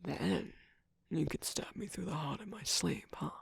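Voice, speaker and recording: A creaky, guarded villain jester played by an ASMR performer for an intimate roleplay. He drawls slowly with a teasing, sardonic edge, often slipping into a breathy whisper. The sound is close and very dry. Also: mid-range voice, no room sound, heavily acted. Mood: suspicious, mocking. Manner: sardonic, teasing, drawn-out phrasing. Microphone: close, dry microphone.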